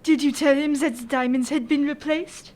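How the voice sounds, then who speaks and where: A woman plays a stern French prefect in a radio drama. The voice is high, and it questions with formal authority in short, clipped phrases. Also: steady tone, normal speed, a little mellow, close to an everyday voice. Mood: probing.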